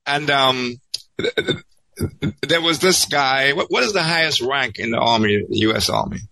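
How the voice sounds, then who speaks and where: A man telling an anecdote in an interview, halting and repeating words as he searches, then landing them emphatically. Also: mid-range voice, animated, gravelly, pushed and bright, dry recording, poor audio. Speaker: podcast guest.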